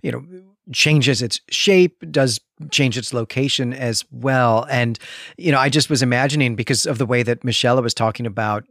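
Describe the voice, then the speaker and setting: A man's voice: medium pitch, moderate pace, fairly bright tone, no echo, studio quality. A man hosting a podcast in a casual, conversational book discussion, steering the talk along. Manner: leads the conversation with questions. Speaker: podcast host.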